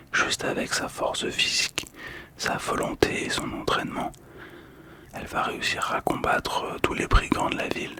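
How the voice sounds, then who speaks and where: Whispering man, soft ASMR storytelling. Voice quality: whispered, breathy. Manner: soft, calm, hesitant. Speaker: host of a relaxation video.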